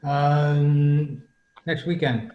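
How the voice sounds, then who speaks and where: A man giving an informal announcement to fellow club members in a halting way, over roomy video call audio. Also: fairly high pitch, level tone, highs cut off.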